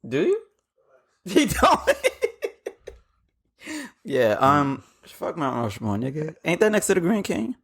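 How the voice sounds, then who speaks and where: A man's voice: on the high side, huge pitch swings, slow, pauses often, fairly bright tone, slightly rough. A man with an American accent talking casually as a podcast co-host, teasing and challenging his friends in heavy slang. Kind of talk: casual banter and debate among friends.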